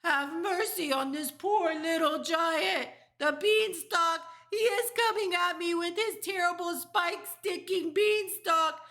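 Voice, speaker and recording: A woman's voice: extremely high pitch, slow pace, hardly any pauses, sharp tone, voice pushed up, heavily acted. A woman voicing a huge brutish male man-eating giant in a storybook, screeching and begging in terror. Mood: panicked, pleading. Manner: bellowing, screeching, pleading.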